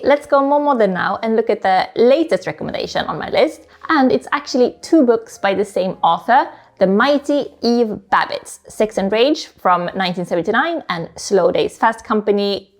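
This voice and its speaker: A woman's voice: mid-range voice, animated, normal speed, hardly any pauses, soft background music. A woman whose first language is Swedish, chatting casually and informally as she recommends books, often slipping in "like". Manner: chatty, informal, frequent "like" asides. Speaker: book YouTuber, host. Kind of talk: casual book recommendation talk to camera.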